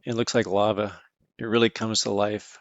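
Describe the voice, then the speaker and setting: A man's voice: on the low side, flat delivery, normal speed, no room sound, highs cut off. Man giving a folksy, informal explainer. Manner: folksy, calls objects "this guy". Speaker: host, mineral hobbyist educator. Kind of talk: informal explainer, showing specimens.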